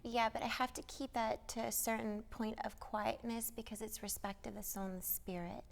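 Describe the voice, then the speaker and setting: A woman speaking as a guest psychic, giving a conversational spiritual reading in a gentle, encouraging, earnest manner. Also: on the high side, little pitch movement, normal speed, vocal fry.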